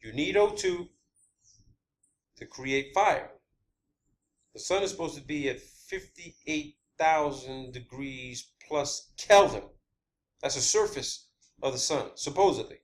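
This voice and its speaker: A man hosting a video, giving a rambling, unscripted explanation of his own theories in a halting, emphatic, blunt and casual way, repeating key words. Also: fairly high pitch, lively intonation. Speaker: video channel host. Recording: clear background ambient noise.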